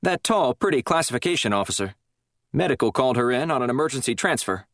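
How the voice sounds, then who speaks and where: A man's voice: medium pitch, animated, fast talker, a bit bright, no echo, studio quality, pitched above the performer's own voice. A man reading a story, voicing a matter-of-fact male prison control room officer.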